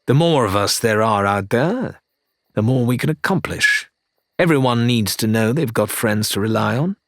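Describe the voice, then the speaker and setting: A man's voice: mid-range voice, expressive, normal speed, dry recording, studio quality, lightly acted. A man voicing a sly pirate turncoat who speaks amiably and persuasively, with a curt edge, in an audiobook.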